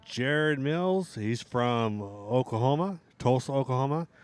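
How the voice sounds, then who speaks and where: A middle-aged man with a hoarse voice, casually commentating a live outdoor RC drag race. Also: medium pitch, animated, unhurried. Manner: casual, rambling banter with restarts.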